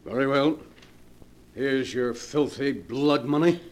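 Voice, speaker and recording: An actor playing a loyal, protective attorney in 1940s radio style, earnest, firm and formal. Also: male voice, mid-range voice, slow pace, a little mellow, close to an everyday voice. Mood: indignant, disgusted.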